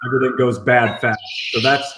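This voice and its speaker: A man's voice: mid-range voice, steady tone, fairly quick. Guy with midrange voice, into it, casual podcast guest, noisy background with clicks. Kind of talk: casual chat. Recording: clear background noise with clicks.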